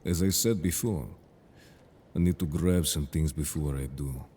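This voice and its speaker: A man voicing a stern, protective merfolk guard in an audio drama, speaking in a measured, formal, serious way. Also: very deep voice, warm tone, husky, full character voice. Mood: matter-of-fact, formal. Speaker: voice actor in an audio roleplay. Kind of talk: scripted audio drama roleplay.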